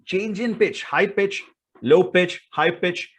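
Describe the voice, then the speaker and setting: A man's voice: high-pitched, slow pace, a bit bright, husky. A man with an Indian accent giving an instructional talk as a speaking coach, in an emphatic, theatrical way full of rhetorical questions.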